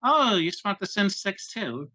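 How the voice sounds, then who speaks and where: A man's voice: sits high, very animated, measured pace, pushed and bright, pitched above the performer's own voice, full character voice. A man playing a polite, naive young boy in a tabletop game. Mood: innocent.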